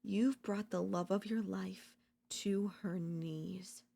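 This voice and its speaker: A woman voice-acting an obsessive, unhinged yandere in a dark ASMR audio roleplay, theatrical and taunting, mocking and seductive. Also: mid-range voice, steady tone, takes it slowly, gravelly. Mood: possessive, triumphant. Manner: theatrical, taunting, swinging between intimate murmurs and intense outbursts.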